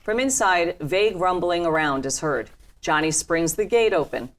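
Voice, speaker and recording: A woman's voice: lowish voice, animated, normal speed. A woman narrating scene headings and stage directions from a script at a table read.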